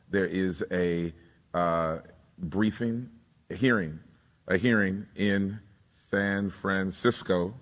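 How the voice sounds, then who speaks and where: A man making halting announcements to a conference audience, with many fillers and restarts. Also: sits low. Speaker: session moderator. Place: large conference hall. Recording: long reverb in a large hall.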